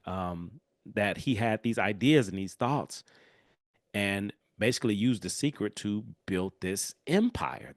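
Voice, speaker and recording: A man's voice: fairly low pitch, dry recording, clean studio sound. Stuffy-nosed man hosting a podcast. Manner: casual, animated, chatty with asides. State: congested, nasal from a cold. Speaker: podcast host. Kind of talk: solo podcast talk.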